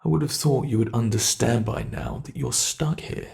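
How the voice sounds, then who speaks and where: A British man voicing a cruel male monster who keeps a human captive, taunting in a slow, mocking way for an ASMR horror roleplay. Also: medium pitch, gravelly, darkish tone, close to an everyday voice. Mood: gloating menace.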